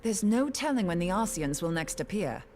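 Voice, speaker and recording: Lively, wry woman adventurer. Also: fairly low pitch, moderate pace, a little hiss or hum. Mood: wry, keen. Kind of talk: scripted video game dialogue.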